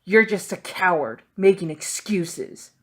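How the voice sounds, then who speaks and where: A woman's voice: fairly low pitch, lively intonation, takes it slowly, breathy edge, exaggerated voice. A woman voicing an arrogant, bullying older boy swordsman in an audiobook, sneering and superior. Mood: taunting.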